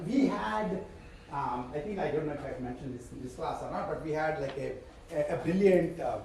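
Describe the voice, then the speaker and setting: A man's voice: a little high, darkish tone, slight background noise. A man with an Indian accent, a professor giving a live university lecture in a digressive way, trailing into fillers and short chuckles. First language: Telugu. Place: lecture hall. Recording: echoey lecture hall from far off.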